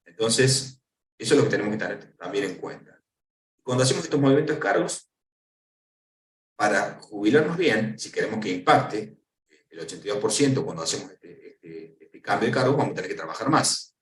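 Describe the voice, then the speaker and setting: A man's voice: on the low side, lively intonation, a little fast. A man with an Argentine Spanish accent giving a conference lecture, explaining step by step and posing questions to answer himself. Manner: didactic, asks and answers own questions. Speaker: lecturer on pension law. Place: lecture hall. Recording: echoey hall, voice from far off.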